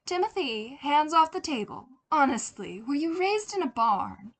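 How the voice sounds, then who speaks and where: A woman's voice: high voice, big pitch swings, unhurried, pitched above the performer's own voice. A woman voicing an elegant, haughty mother in a story, prim and lightly teasing as she talks to her young son. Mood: prim, scolding.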